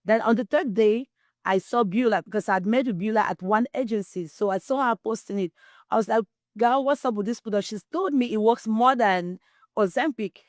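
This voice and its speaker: A woman's voice: fairly high pitch, moderate pace, dry recording, studio quality. Earnest Cameroonian-born woman telling her story. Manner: earnest, testimonial, quotes herself often. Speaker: guest, wellness distributor. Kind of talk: interview, personal story.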